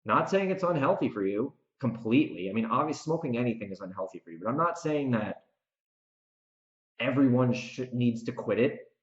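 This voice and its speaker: A man's voice: medium pitch, animated, a little fast. American man, podcast host, opinionated, emphatic, casual solo rant, dull sound, roomy echo. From United States. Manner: opinionated, emphatic, talks directly to the listener. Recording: dull, echoey room recording.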